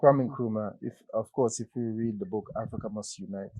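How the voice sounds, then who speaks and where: A man in a conversational discussion as a guest, explaining his points haltingly and repeating words. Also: on the low side, dark tone, dry recording, low-quality recording. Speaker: interview guest.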